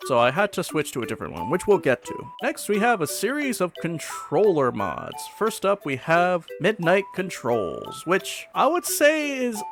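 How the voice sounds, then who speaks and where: A man's voice: high-pitched, huge pitch swings, normal speed, vocal fry, few pauses, music in the background. A man giving chatty, informal and opinionated commentary in the style of a casual gaming video host.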